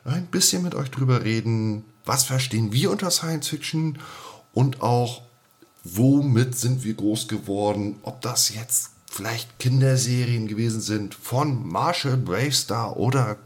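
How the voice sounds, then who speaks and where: A man's voice: medium pitch, unhurried. A man hosting a casual podcast, chatty and jokey, often repeating words for emphasis. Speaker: podcast host.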